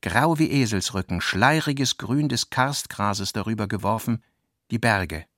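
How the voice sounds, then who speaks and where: An actor playing the reflective narrator of a modernist novel in a radio play, with measured, deliberate phrasing. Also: male voice, lowish voice, animated, a bit bright, no room sound, studio quality. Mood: measured, descriptive.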